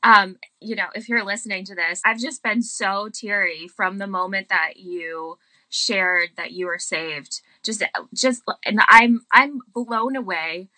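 Bright-voiced woman, thoughtful and emphatic. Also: mid-range voice, a little slow, no room sound, low-quality recording.

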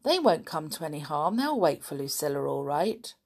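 A woman's voice: deep voice, sing-song, normal speed, a little mellow, heavily acted. A woman voicing a plucky, carefree circus boy with breezy, informal speech in a children's adventure story.

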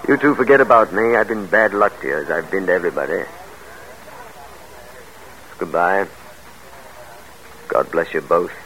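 An actor playing a proud, ambitious father and scornful gentleman schemer in old-time radio drama, theatrical and articulate. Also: sits low, moderate pace, long pauses. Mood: resigned, sad. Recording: noisy vintage radio recording.

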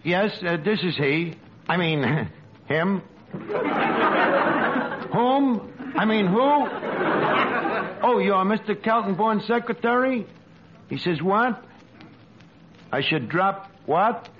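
An actor playing a wisecracking, uneducated tavern manager with a New York accent and slangy talk, in 1940s radio comedy style. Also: male voice, sits high, animated, takes it slowly, pauses often, over a noisy crowd. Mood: cocky, bluffing. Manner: slangy, malapropisms, hesitant asides. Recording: muffled noisy old radio recording, live audience.

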